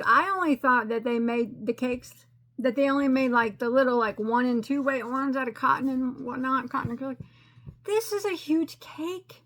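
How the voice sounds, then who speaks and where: A woman talks casually about her crafting, her voice bright and a touch high. She rambles and restarts, joking about her own rookie mistakes. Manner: rambling and self-deprecating, with frequent restarts and laughs. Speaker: crochet channel host. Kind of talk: casual chatty crafting update.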